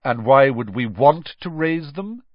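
A man as schoolboy bully, storybook villain, cold, sarcastic, drawn-out, husky, swooping pitch. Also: wildly swooping pitch, dry recording, muffled recording, exaggerated voice. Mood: cold, sneering.

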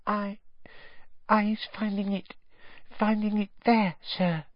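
A man squeaking as a terrified little elf maid. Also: little pitch movement, slow pace, dry recording, highs cut off. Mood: fearful whisper. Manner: squealing, stammering, broken grammar. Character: tiny terrified female house-elf servant.

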